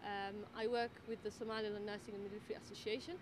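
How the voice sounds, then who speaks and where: A woman's voice: mid-range voice, level tone. Woman midwife speaking over background noise. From Netherlands. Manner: thoughtful, many fillers and pauses. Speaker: midwife and tutor. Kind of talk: interview answers. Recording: noisy background ambience.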